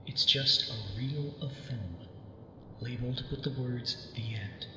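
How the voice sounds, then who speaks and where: A man voicing a weary prisoner held for a long time, calm and cryptic, in a video game cutscene, speaking in a hushed, half-whispered, measured way. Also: medium pitch. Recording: cavernous echo with long reverb and ambient noise.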